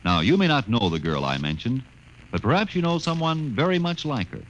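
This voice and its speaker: A man's voice: mid-range voice, sing-song, brisk, a little hiss or hum, low-quality recording. A man delivering an earnest, persuasive public service appeal on 1950s radio.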